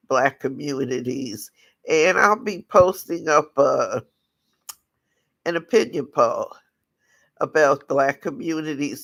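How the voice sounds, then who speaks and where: A raspy-voiced man from the United States, hosting a casual livestream talk and speaking in a halting way with many restarts. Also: mid-range voice, a bit bright, no echo. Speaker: channel host.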